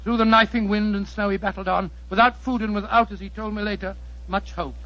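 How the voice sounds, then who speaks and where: A man's voice: sits high, fairly even intonation, a little fast, pushed and bright. An actor playing an earnest English doctor narrating his friend's adventure dramatically, with a British accent, in 1940s radio style. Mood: grave. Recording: dull 1940s radio recording.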